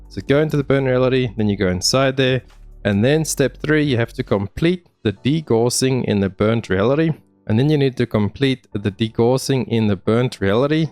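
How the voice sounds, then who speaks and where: A man's voice: on the low side, darkish tone. A man narrating a game walkthrough in a casual, informal, friendly way, speaking straight to viewers. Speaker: gaming video host. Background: music.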